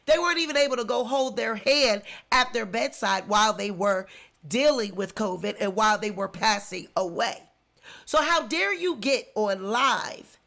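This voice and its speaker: A woman's voice: a little high, moderate pace, vocal fry, fairly bright tone. Indignant congested woman ranting. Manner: indignant, emphatic, repetitive. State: congested with flu. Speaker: commentary vlogger. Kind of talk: opinionated celebrity commentary.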